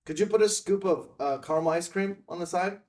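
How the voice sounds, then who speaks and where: A man's voice: sits high, brisk, a little mellow, lightly acted. A man playing a casual, probing visitor to a diner in a film table read, with an offhand, slightly hesitant delivery.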